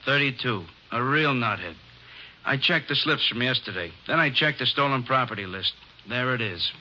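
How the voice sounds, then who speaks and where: An actor playing a fretful, chatty middle-aged pawnbroker, complaining in an exasperated, rambling way, in 1950s radio drama style. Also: male voice, mid-range voice, expressive, moderate pace, sharp tone, slight background noise. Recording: muffled vintage radio broadcast.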